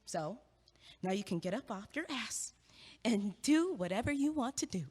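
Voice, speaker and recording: A blunt, impatient young student pushing her case with brisk, dry logic. Her pitch swings widely from line to line in this audio drama, and a long reverb hangs behind her. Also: animated, a little mellow, slightly rough.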